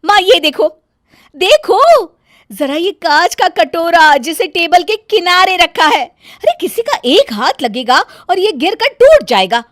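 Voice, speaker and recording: A woman's voice: very high-pitched, big pitch swings, slow, a bit bright, dry recording, studio quality, voice pushed up, exaggerated voice. A woman voicing a nagging, boastful young Indian daughter-in-law in a storybook tale, with a scolding, self-important manner. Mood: shrill, alarmed.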